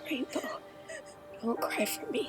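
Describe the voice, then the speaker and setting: A slow, breathy female voice acted by a woman as a gentle pony near death, tender and resigned. It is half-whispered and weak, with clear music behind. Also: close to an everyday voice. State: weak, fading. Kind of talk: fan audio drama acting.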